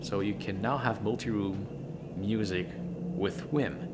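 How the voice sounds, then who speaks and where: A man who is a gives a casual product review in an enthusiastic, emphatic, halting way, often repeating words. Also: on the low side, noisy background.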